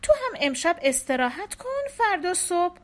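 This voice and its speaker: A woman voicing a calm husband who breaks bad news gently, in a soft, measured way. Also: very high voice, pitched above the performer's own voice, heavily acted.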